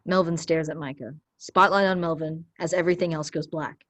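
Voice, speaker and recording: A woman reading stage directions as scripted narration, measured and declarative. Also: deep voice, fairly even intonation.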